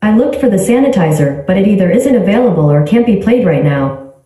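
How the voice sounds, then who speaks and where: A synthetic woman's voice from a smart speaker, giving even, polite, clipped replies. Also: medium pitch, brisk. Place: small tiled bathroom. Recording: echoey bathroom, heard from a distance.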